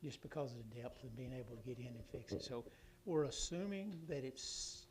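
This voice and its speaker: A hoarse man reports to a city council in a rambling, halting way, full of restarts and fillers. His voice sits in an ordinary middle register. A faint hum runs underneath. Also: mid-range voice, lively intonation. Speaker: city official giving a utility report.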